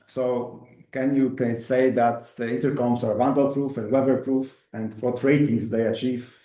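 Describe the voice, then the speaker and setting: A man with a medium-pitched, mellow and relaxed voice hosts a webinar interview. He puts questions conversationally and recaps as he goes. The room around him sounds noticeably echoey. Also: normal speed. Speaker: webinar host asking questions. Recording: roomy, echoing room sound.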